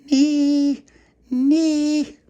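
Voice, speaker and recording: A squeaky, eager baby girl, put on by a man in a children's story. The babble is pitched way up high. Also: fairly even intonation, drawn-out words, warm tone, full character voice. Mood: excited.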